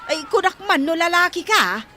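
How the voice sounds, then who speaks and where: A woman's voice: extremely high pitch, big pitch swings, normal speed, pushed and bright, a faint crowd, slight background noise, heavily acted. An actress playing a flustered village woman in a comedy radio drama, with an Ilocano accent. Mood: dismayed.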